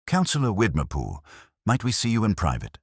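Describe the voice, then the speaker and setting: A man voicing a calm, steady male police inspector who asks probing questions quietly. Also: fairly low pitch, fairly quick, husky, no echo, studio quality, pitched above the performer's own voice, exaggerated voice.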